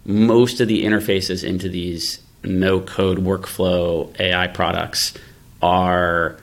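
Low-pitched man talking tech casually. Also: level tone, takes it slowly, vocal fry. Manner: explanatory, thinking aloud, rhetorical questions. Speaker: podcast host, venture investor. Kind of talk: casual conversational tech discussion.

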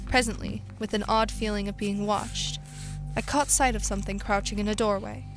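A woman playing a weary, haunted professor who survived an invasion, speaking solemnly and deliberately in a radio drama. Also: mid-range voice, fairly even intonation, over music, lightly acted, poor audio. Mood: watchful, somber. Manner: solemn, literary, deliberate narration. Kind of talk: dramatic radio play monologue and dialogue.

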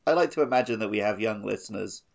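A man's voice: lowish voice, fast, vocal fry. A man co-hosting a casual gaming podcast in an informal, conversational way. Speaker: podcast co-host. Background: faint music.

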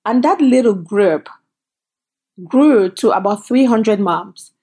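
A middle-aged woman from Cameroon, a company founder telling her story as a guest in an interview, lively and quick in bursts. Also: mid-range voice, breathy edge. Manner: animated, storytelling, quick bursts, laughs often. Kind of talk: conversational interview.

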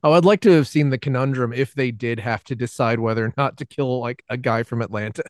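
A man's voice: medium pitch, fast, no room sound, studio quality. A man co-hosting a movie podcast in casual chat, rambling and laughing often, with a mixed American accent. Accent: mixed American with some New York. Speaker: podcast co-host.

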